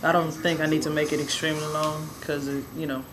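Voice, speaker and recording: A young woman with a raspy, hoarse voice telling a personal story in a relaxed, rambling way with many fillers. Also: low voice, fast talker, background noise. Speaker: vlogger. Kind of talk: casual personal storytelling to camera.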